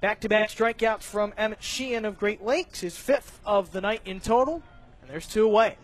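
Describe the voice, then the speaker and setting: A man giving live baseball radio play-by-play commentary, narrating each pitch in measured detail. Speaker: play-by-play announcer.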